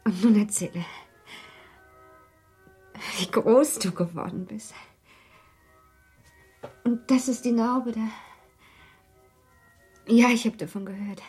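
Anxious young mom in a radio play, super breathy, tender but brittle n guilty. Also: female voice, fairly high pitch, slow pace, pauses often, darkish tone, soft background music, heavily acted. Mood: strained cheer. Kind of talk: German radio play acting.

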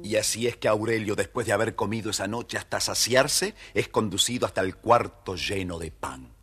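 A man with a husky voice narrating a children's tale theatrically, with dramatic pauses. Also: fairly low pitch, a bit bright, faint music behind. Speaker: storyteller.

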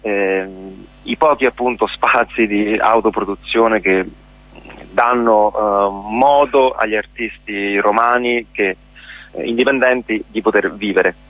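A man's voice: fairly low pitch, no echo, narrow, tinny audio. Hesitant man interviewed on radio, dry sound. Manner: hesitant, halting, searching for words. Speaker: actor, interview guest. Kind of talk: spoken radio interview, explanatory. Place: radio programme.

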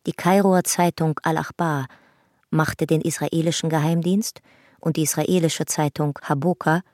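An actress as a sober documentary narrator in a radio drama, reading reports in a measured, factual way. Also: female voice, sits low, fairly even intonation, a little mellow, no echo, clean studio sound, lightly acted. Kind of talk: scripted narration.

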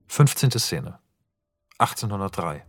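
A man's voice: low voice, very animated, unhurried, dry recording. A man narrating a radio drama, reading stage directions in a precise, literary, matter-of-fact manner.

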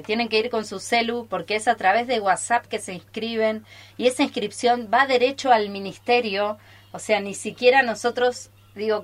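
A woman with an Argentine accent talks casually and enthusiastically on live radio as a theatre teacher visiting the studio. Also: fairly high pitch. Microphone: studio microphone.

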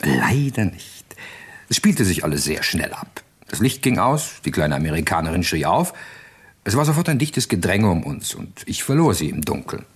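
An actor playing a suave, evasive gentleman secret agent in a radio crime drama, with an urbane and unflustered way of talking. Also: low-pitched, big pitch swings, moderate pace, slightly rough, full character voice. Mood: guarded, explaining.

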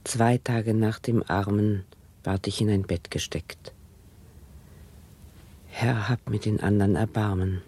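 A resigned dead plague victim in radio drama, husky and low, unhurried, laconic. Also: male voice, fairly even intonation, warm tone, heavily acted. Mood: mournful, resigned. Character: plague-dead mother recounting her death and burial. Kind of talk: solemn 1960s radio play monologue.

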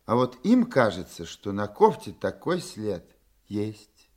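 Veteran police colonel, animated delivery. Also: male voice, lowish voice, slow, full character voice. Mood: explaining, firm. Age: older. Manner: explanatory, firm, slightly wry. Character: authoritative veteran male police colonel, exacting boss. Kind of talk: Russian radio drama acting.

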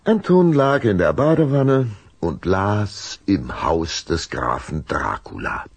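A male storyteller with an extremely deep, husky voice reads a children's story. He goes slowly with wide dramatic swings in pitch, building suspense, recorded close and dry. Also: big pitch swings, slight background noise. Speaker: narrator. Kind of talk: scripted children's story narration.

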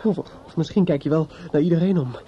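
A man's voice: fairly high pitch, brisk, close to an everyday voice. An actor playing a drunk, bitter, grieving judge's son in a radio play, stammering and repeating himself as he broods. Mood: bitter brooding. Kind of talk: radio drama acting with inner monologue. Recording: muffled, noisy old radio play recording.

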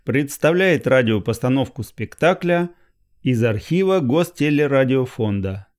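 A man's voice: mid-range voice, lively intonation, unhurried. A man reading a formal, scripted introduction as an announcer.

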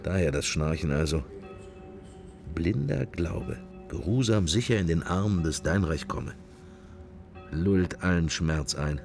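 A deep, gravelly, wry sceptic. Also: male voice, expressive, unhurried, long pauses, a little mellow, faint music behind, full character voice. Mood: musing, ironic. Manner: wry, musing, clipped fragments. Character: wry, musing male sceptic, inner thoughts while observing church. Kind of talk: radio drama interior monologue.

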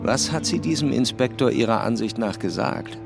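An actor playing a brusque police commissioner in a radio crime drama, questioning in terse, pointed phrases. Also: male voice, medium pitch, normal speed, creaky, music in the background, natural voice. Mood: probing. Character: brusque, probing male police commissioner leading a murder investigation. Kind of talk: German radio drama acting.

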